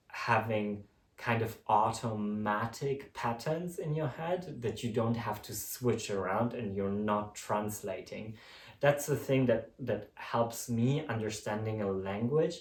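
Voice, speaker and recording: Man, native Polish speaker, expat vlogger, casual and self-correcting; low voice, roomy distant mic. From Poland. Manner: chatty, many restarts and fillers. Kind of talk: casual talk to camera.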